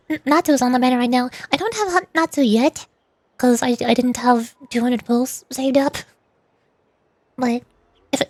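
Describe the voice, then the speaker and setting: A woman's voice: high-pitched, no room sound, studio quality. A woman livestreamer chatting casually to viewers, rambling and thinking aloud as she goes.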